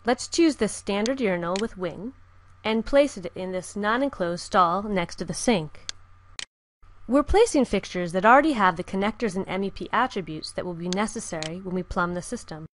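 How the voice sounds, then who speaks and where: A woman giving calm, scripted step-by-step narration for a software tutorial. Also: mid-range voice, normal speed, a little hiss or hum. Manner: calm, even, step-by-step instruction. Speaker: software instructor. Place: voice-over with screen recording.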